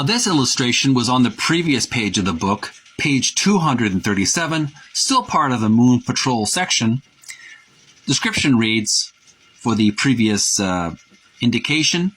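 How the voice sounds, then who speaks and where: A man presenting a book by reading its printed text aloud with commentary, in a halting, deliberate, itemizing way with pauses. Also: mid-range voice, a bit bright, breathy edge, poor audio. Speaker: presenter of a retro video game book.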